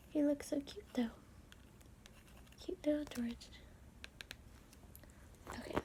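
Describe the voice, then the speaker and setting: A woman reading a children's storybook aloud in a soft ASMR whisper, with playful asides. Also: on the high side, slight background noise. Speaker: storybook reader.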